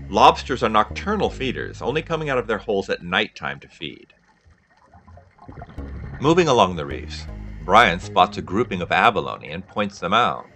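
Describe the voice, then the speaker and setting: A low-pitched man narrates a dive film in scripted documentary style. His voice is bright and forceful with animated intonation, explaining things in measured sentences over music. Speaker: narrator, scuba diver.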